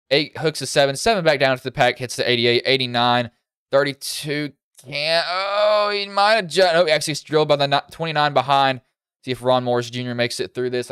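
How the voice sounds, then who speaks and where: A young man with a slightly deep voice commentating a sim truck race live, casual and rambling, getting excited when cars wreck. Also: smooth, dry recording, clean studio sound. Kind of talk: live sim racing play-by-play.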